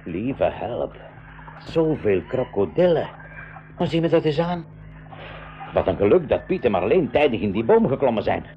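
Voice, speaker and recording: A man with a Flemish accent playing an excitable, anxious professor in a theatrical radio play style. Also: mid-range voice, moderate pace, long pauses, a little hiss or hum, natural voice. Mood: flustered. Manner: theatrical, exclamatory. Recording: muffled 1980s school radio recording.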